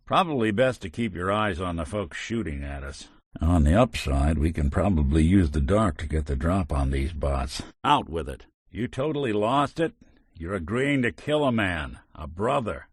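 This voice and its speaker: A man's voice: low voice, animated, moderate pace, breathy edge, no room sound. An actor voicing a world-weary male robot detective in a game, talking in dry, hard-boiled wisecracks. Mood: reflective. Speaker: game companion character. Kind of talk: video game voice acting.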